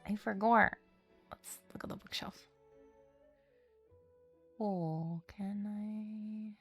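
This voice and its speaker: A woman's voice: medium pitch, steady tone, extremely slow, faint music behind. A woman streaming a game, talking casually and reading the story aloud.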